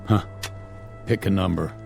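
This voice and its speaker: A low-voiced, stern space commander. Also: male voice, unhurried, creaky, a little mellow, soft background music, full character voice. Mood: grim, measured. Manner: authoritative, pragmatic. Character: stern, commanding male spaceship colonel, steady under strain. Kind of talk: radio drama acting.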